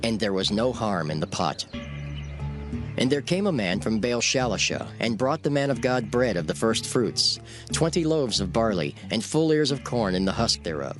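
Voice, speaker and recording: A man narrating dramatized scripture in a measured, solemn storytelling manner. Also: lowish voice, big pitch swings. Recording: faint music behind the voice.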